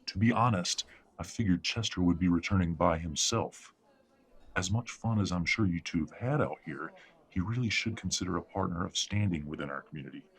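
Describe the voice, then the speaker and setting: A man's voice: low voice, fairly quick, breathy edge. A man playing a middle-aged, imperious werewolf patriarch in an audio drama, speaking formally and condescendingly as he controls his family. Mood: controlling, patronizing. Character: imperious wealthy werewolf patriarch, coldly polite, controlling father. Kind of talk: scripted audio drama acting.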